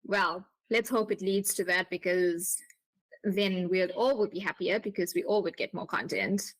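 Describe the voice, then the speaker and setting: A woman's voice: lowish voice, steady tone, moderate pace, low-quality recording. A woman hosting a casual podcast interview in an encouraging, appreciative manner. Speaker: podcast host.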